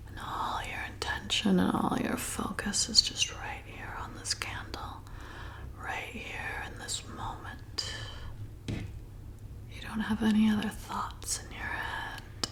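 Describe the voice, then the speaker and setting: Seductive female vampire bartender, acted by a woman for ASMR roleplay: whispering, very slow, soothing and slyly predatory. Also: medium pitch. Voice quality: breathy whisper with creaky edges. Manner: slow, soothing, intimate, coaxing.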